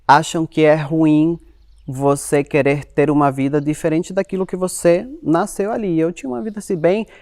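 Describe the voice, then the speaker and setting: A young man from Uruguay, whose first language is Spanish, telling his life story as an interview guest, speaking Portuguese with a Uruguayan Spanish accent in an animated, expressive way. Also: fairly high pitch, normal speed, no room sound, studio quality. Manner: animated, expressive, quotes himself in lively voices.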